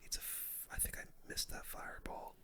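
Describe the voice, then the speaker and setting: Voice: brisk, sharp tone. A young adult streamer whispering casual, slangy gaming commentary in an ASMR style, close to the microphone. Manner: casual gamer slang, addresses viewers as dude and guys. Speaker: video game streamer.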